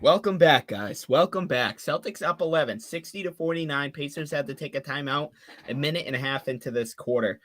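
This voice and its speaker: A man of about twenty calls a basketball game for his own live stream, with a slightly high, bright, ringing voice. He rattles off the plays quickly and then eases into casual talk with his viewers between possessions. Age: 20s. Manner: rapid call of the action mixed with chatty asides to viewers. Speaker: play-by-play streamer. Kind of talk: fan live-stream play-by-play commentary.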